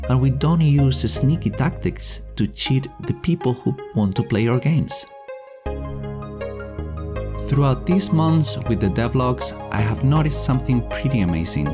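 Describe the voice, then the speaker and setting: A middle-aged man narrating a scripted devlog in a low-key, sincere manner. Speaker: indie game developer and devlog host. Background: background music.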